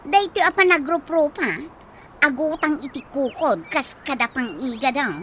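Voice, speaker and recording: A woman's voice: high-pitched, lively intonation, moderate pace, pushed and bright, slight background noise. A woman playing a defensive schoolteacher who owes money, speaking in a radio drama.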